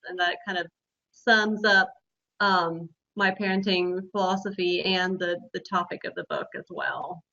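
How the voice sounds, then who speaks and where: A woman's voice: fairly low pitch, steady tone, unhurried, sharp tone. A middle-aged woman from Sweden, a native Swedish speaker, answering questions as a guest in a casual interview. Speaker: interview guest, author and blogger. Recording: slightly muffled online call audio.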